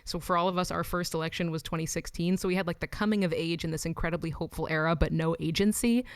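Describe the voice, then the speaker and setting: Brief: woman in her 20s from Los Angeles, smooth lowish voice, chatty, dry close sound. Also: steady tone, fast talker, no echo. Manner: chatty, frequent "like" and "um", laughs between thoughts. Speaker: musician guest. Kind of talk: casual interview chat.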